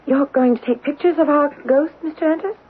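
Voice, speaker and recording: A woman's voice: high-pitched, fairly quick, natural voice. An actress playing a nervous young housewife and mother in a 1970s radio drama, speaking with hesitant, stumbling words. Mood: flustered. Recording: tinny 1970s radio broadcast.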